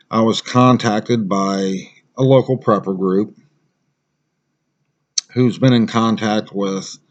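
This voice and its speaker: A man's voice: fairly low pitch, lo-fi sound. An American man giving a casual, unscripted gear review as a survival instructor, plain-spoken and rambling.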